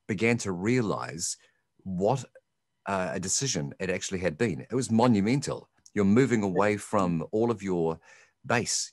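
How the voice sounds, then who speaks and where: A low-voiced man interviews a guest in a conversational, probing way, weaving in his own asides. He grew up in New Zealand. The recording is dry and close, with no room sound. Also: no room sound.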